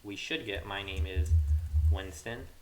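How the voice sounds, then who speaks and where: Low-voiced guy, hissy coding tutorial. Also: unhurried. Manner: informal, thinks aloud while working. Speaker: programming tutorial host. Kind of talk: casual screen-recorded coding tutorial. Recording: hissy mic with keyboard clatter. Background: computer keyboard typing, hiss.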